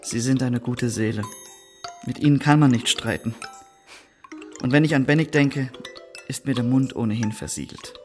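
An actor playing a courteous, sentimental naval officer in a radio drama, talking in a heartfelt, gently argumentative way. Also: male voice, medium pitch, normal speed, long pauses, soft background music, natural voice. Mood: warm, conceding. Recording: echoey room, noisy background.

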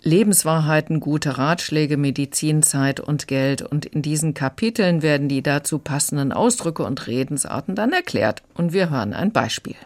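A woman's voice: sits low, normal speed, few pauses, a little mellow. A woman giving a prepared review of audiobooks in a radio conversation.

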